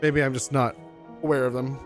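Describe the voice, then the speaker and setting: A man's voice: mid-range voice, level tone, fairly quick. Man rambling casually over game music. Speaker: video game let's-play commentator. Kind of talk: casual chat over gameplay. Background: video game music.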